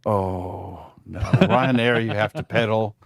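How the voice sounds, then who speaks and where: A man from the southern United States chats casually in a low voice. He has a dry, joking manner, recorded close with no room sound. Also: slow, no echo. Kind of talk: casual group chat.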